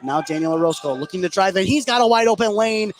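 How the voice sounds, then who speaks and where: A man doing live radio play-by-play of a basketball game, getting excitable on big plays. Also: high voice, fast talker, slight background noise. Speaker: play-by-play commentator.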